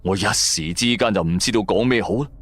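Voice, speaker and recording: A man's voice: lowish voice, fairly quick, a bit bright, no echo. A man with a Cantonese accent narrates a scripted first-person story in a colloquial, dramatic storytelling manner. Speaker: storyteller performing an audio drama. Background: background music.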